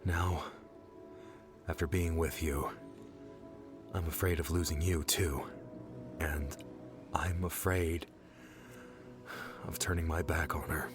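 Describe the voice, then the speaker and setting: A man playing a touchy, guarded young guardian in an audiobook, with an exasperated, halting delivery. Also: a bass voice, fairly even intonation, over music. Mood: fearful, confessing. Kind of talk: audiobook character dialogue.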